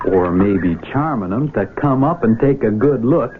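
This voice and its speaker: An actor in a 1970s radio drama playing an easygoing, wry young American country fellow with folksy banter. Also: male voice, mid-range voice, lively intonation, measured pace, lightly acted. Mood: wry, playful. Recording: phone-like lo-fi old radio, hiss.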